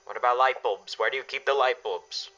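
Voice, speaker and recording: A man playing a forceful, steady family father. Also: medium pitch, a little fast, natural voice. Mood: controlled, urgent. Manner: firm, reassuring, directive. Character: steady, commanding family father preparing for disaster. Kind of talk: radio drama acting. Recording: dull, band-limited recording.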